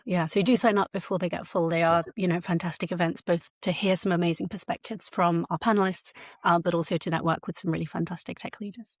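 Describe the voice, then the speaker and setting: A woman co-hosting a tech podcast, talking in a friendly, upbeat and lively way. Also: lowish voice, no echo, studio quality. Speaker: podcast co-host. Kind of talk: casual podcast chat.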